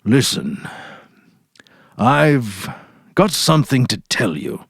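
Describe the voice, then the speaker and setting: A man's voice: mid-range voice, sing-song, slow, slightly rough, no room sound, clean studio sound, heavily acted. A man voicing a weary, brusque senior policeman husband in a dramatic story reading. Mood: grave, awkward. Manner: terse, brusque.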